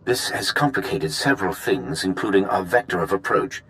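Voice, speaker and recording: An actor playing a smooth, self-serving research executive in a science fiction game, with glib, wordy formality. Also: male voice, low voice, lively intonation, moderate pace, fairly bright tone, poor audio. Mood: smooth, evasive. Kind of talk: video game cutscene acting. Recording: music behind the voice.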